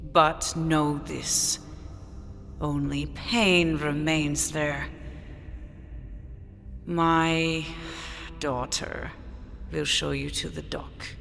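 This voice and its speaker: Slow, gravelly, regal desert queen. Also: low-pitched, a bit bright, exaggerated voice. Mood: commanding, solemn. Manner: slow, theatrical, grandiose and dramatic. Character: regal, imperious desert queen of an illicit city. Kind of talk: theatrical audio drama monologue. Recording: long reverb, music behind.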